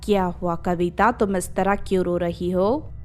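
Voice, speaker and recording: A woman's voice: fairly low pitch, steady tone, a little slow, soft background music, pitched below the performer's own voice, natural voice. A woman voicing a kind, concerned female schoolteacher who speaks reassuringly in a children's story.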